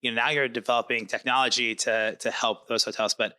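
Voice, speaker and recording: Male podcast host, mid-pitched and strikingly bright, punchy tone, upbeat casual interviewer. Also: flat delivery, quick pace.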